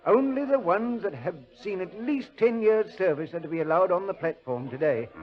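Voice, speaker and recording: Fretful station official, high voice, respectful, hesitant explanations, vintage radio drama, dull sound. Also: male voice, expressive, normal speed. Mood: deferential, informative. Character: deferential, fretful male railway station official. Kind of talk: 1940s radio drama acting. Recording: dull old radio recording.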